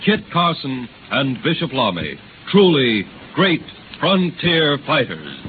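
Grand, animated male old-time radio narrator. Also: mid-range voice, takes it slowly. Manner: grand, declamatory. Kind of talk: 1930s radio drama narration, scripted. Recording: noisy, tinny 1930s radio recording.

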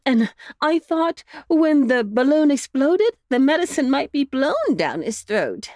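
Woman voicing a worried storybook mother dog, high, loud, fretful, sighing, slow. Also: sing-song, no echo, clean studio sound.

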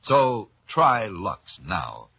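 A man reading a scripted 1950s radio soap advertisement as the announcer, with emphatic, deliberate persuasion. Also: fairly low pitch, huge pitch swings, gravelly, sharp tone, breathy edge. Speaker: commercial announcer. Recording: dull vintage radio broadcast.

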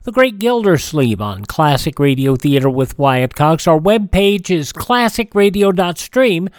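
Lively higher-pitched male radio host. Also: measured pace, dry recording, studio quality. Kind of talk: scripted radio presenting.

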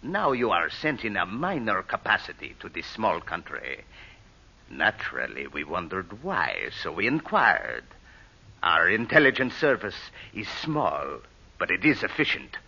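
An actor playing a shrewd, suspicious strongman in an old radio drama, formal and deliberate. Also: sits low, very animated, vocal fry, bright, forceful tone, husky, full character voice. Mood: stern, frank. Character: powerful, suspicious foreign dictator, shrewd official. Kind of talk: 1930s-40s radio drama acting. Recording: dull old radio recording.

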